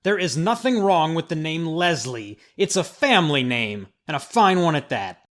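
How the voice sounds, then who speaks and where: Prickly male executor, audio drama. Animated delivery, pompous, easily affronted. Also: fairly high pitch, moderate pace. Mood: indignant. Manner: indignant, scornful, pompous. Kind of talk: acted audio drama dialogue.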